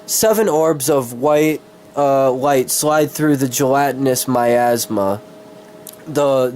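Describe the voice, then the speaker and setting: A man with a cold doing a dramatic story reading, halting and easily distracted, with casual swearing. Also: medium pitch, darkish tone, a little hiss or hum. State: sick with a cold.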